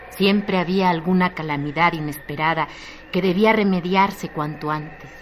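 A woman narrating a literary radio drama in an emphatic, dramatized, incantatory way. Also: deep voice. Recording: noisy radio drama recording.

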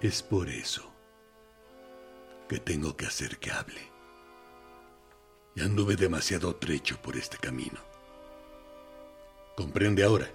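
An actor in a radio drama playing a tormented torturer captain, confessing with anguish and swinging from hushed to loud. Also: male voice, sits low, lively intonation, slow, long pauses, soft background music, full character voice. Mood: anguished confession.

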